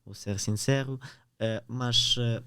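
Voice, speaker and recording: A man's voice: medium pitch, fairly even intonation, takes it slowly, sharp tone, clean tone, dry recording, clean studio sound. A teenage boy from Ukraine with a Ukrainian accent, a podcast guest answering hesitantly and searching for words in a language that is not his own. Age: teens. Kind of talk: podcast interview.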